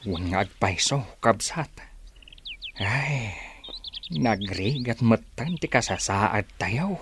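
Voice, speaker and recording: A man's voice: fairly low pitch, animated, takes it slowly, background noise. A man with an Ilocano accent playing a troubled, resigned villager who sighs and agrees, in a radio drama.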